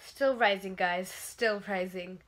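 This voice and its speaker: A woman's voice: mid-range voice, steady tone, slow, rough recording. A teenage girl chatting casually in her bedroom, reviewing toys while congested from a cold, rambling with many fillers. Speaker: vlogger. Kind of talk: casual product review vlog. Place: bedroom, indoors.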